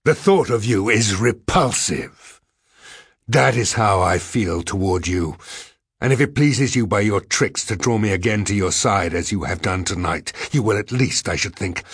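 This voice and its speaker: Man acting a bitter, scornful, husky academic. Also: deep voice, a bit bright, no echo, clean studio sound, full character voice. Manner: emphatic, scornful, dramatic. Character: bitter, aggressive male academic denouncing his tormentor. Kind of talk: audiobook character voice.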